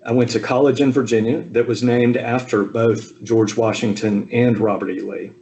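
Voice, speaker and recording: A man in his fifties from the American South, giving a relaxed personal talk over a video call with frequent ums and asides. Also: mid-range voice, moderate pace, slight background noise, poor audio. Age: 50s. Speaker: speaker at a Zoom talk. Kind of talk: personal family history talk.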